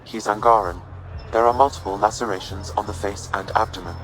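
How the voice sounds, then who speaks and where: An actor voicing a calm, formal artificial intelligence assistant with a precise, clinical manner in a video game. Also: male voice, fairly low pitch, brisk, faint music behind, close to an everyday voice, rough recording. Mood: calm, analytical.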